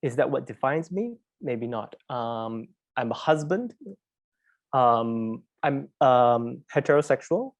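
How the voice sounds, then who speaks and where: A man with a Malaysian accent speaks as a presenter at an online talk. His voice sits at a middle pitch and he talks informally, engaging listeners directly. The sound is dry, with very little room in it. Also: lively intonation, slow, no room sound. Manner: conversational, prompts listeners with repeated questions. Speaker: investigative journalist presenting as a webinar speaker. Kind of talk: informal interactive webinar presentation.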